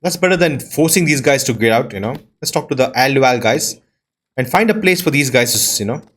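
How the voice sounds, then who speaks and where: Husky, lively man narrating his game. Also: mid-range voice, fairly quick. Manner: musing aloud, reading names off screen. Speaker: video game player and host. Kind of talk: casual let's-play commentary. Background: plucked-string game music.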